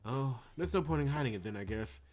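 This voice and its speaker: A man's voice: mid-range voice, animated, fast, darkish tone, close to an everyday voice. A man reading the lines of a curious, pragmatic young woman detective from a visual novel, with music behind.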